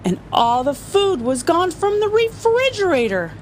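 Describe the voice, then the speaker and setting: A woman's voice: very high voice, very animated, unhurried, very noisy. A woman reading a children's picture book aloud as a story-time reader, playful and often chuckling.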